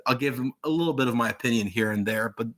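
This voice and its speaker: A man raised in Pakistan, in his thirties, chatting as a guest author in an interview. He rambles with plain enthusiasm, full of "you know" and false starts. His voice sits at an ordinary middle pitch for a man. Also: quick pace, a bit bright. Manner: rambling, enthusiastic, many fillers and restarts. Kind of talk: casual interview chat.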